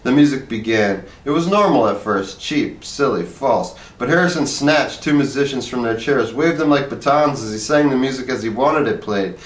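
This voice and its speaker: A man's voice: mid-range voice, moderate pace, hardly any pauses. Man narrating in an echoey room. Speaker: story reader. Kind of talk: scripted narration of a short story. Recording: echoey room, distant microphone.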